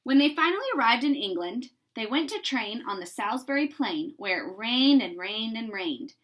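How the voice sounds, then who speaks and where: A woman reading a children's story aloud in an expressive, deliberate narrating style. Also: on the high side, rough recording. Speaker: storybook reader.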